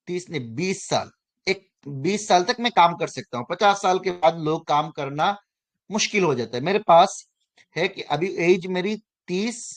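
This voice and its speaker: A man in his thirties teaching an online finance class, often pausing to check that students understand and correcting himself as he goes. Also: a little high. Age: 30s. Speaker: finance course instructor.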